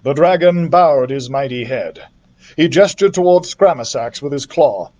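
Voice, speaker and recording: A man's voice: medium pitch, expressive, normal speed, darkish tone, lo-fi sound. A man reading a children's story aloud expressively as a library storyteller.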